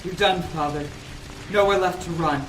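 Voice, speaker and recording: A man's voice: sits high, moderate pace, close to an everyday voice. Male actor as remorseful young son: heartfelt, emotional, noir drama; off-mic, noisy rainy track. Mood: defiant. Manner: earnest, emotional. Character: earnest young son, remorseful, defying his villainous father. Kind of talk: noir audio drama acting. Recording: distant, echoey, noisy track with rain.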